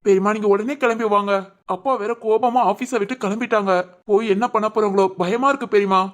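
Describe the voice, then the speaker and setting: A woman's voice: medium pitch, fairly even intonation, fast talker, natural voice. A woman playing an anxious, respectful young son in a family audio drama, earnest and pleading. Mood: worried.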